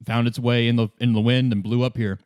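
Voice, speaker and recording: A man narrates a tabletop roleplaying game as its game master. His voice sits at an average pitch, and he improvises casually, with halting, good-humored phrasing. The recording is dry. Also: flat delivery, darkish tone, smooth, dry recording. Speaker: game master, podcast host.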